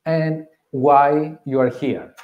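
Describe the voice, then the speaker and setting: A man's voice: fairly high pitch, fairly even intonation, slow pace. A man in his thirties with a Greek accent gives a conference tech talk, explaining step by step and repeating key points. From Greece. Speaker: conference speaker. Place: meeting room with audience. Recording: roomy, slightly dull live room audio.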